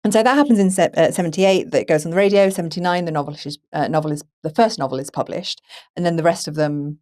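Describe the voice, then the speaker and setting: A woman hosting a conversational film podcast, chatty and animated in the way she tells things. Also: on the low side, quick pace. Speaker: podcast host and moderator.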